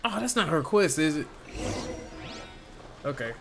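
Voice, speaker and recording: Male gamer, animated intonation, somewhat high voice, slangy casual commentary, faint music. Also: a little slow, vocal fry. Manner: informal, slangy, talks to viewers. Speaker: gamer playing on a stream or video.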